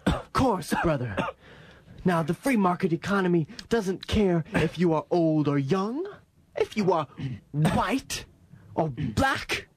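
A man's voice: high voice, expressive, slow, a little hiss or hum. A man playing a fervent revival preacher of money and consumerism, bombastic and exhorting in a parody sermon. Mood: rousing, zealous.

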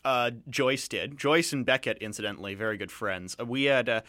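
A man hosting a radio show, chatting casually about films in a rambling, digressive way with halting restarts. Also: medium pitch, pushed and bright, dry recording, clean studio sound. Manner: rambling, digressive, halting with repeated false starts. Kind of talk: casual film review chat.

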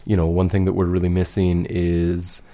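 A man co-hosting a casual, humorous radio show in a halting, mock-serious way. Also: deep voice, monotone, warm tone.

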